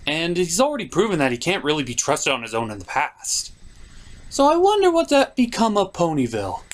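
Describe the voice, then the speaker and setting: A man's voice: on the high side, wildly swooping pitch, a little fast. A man hosting a cartoon review, delivering scripted comedic narration with theatrical, sarcastic asides. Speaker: cartoon reviewer, show host.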